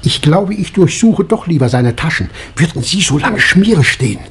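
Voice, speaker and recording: An actor playing an inquisitive doctor and amateur sleuth in a 1960s German radio play. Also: male voice, on the high side, lively intonation, normal speed, a bit bright. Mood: conspiratorial, focused. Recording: old vinyl record with surface noise.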